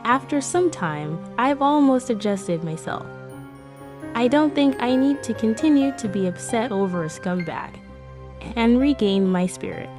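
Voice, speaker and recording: A woman's voice: on the high side, expressive, a little slow, music in the background. A woman giving a scripted first-person narration for a romance comic dub.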